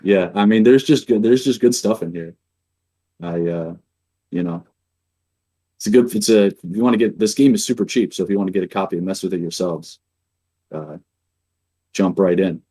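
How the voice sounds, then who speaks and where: A man with a deep, dark voice chats casually as a guest on a stream. His tone is husky, the sound is dry, and faint music sits behind. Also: normal speed, long pauses, no room sound. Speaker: guest speedrunner. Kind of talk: casual sign-off chat.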